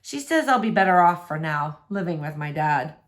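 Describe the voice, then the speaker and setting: A woman reading the part of a sullen, heartbroken adolescent boy in terse, low-key replies. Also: big pitch swings, moderate pace. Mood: glum.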